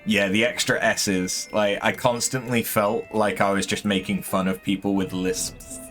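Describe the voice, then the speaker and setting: A man with a fairly deep voice giving casual, hesitant let's-play commentary, throat a bit strained from voicing characters. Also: flat delivery, fairly bright tone, soft background music. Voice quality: relatively deep voice. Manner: hesitant, many ums, unhurried. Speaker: gaming streamer.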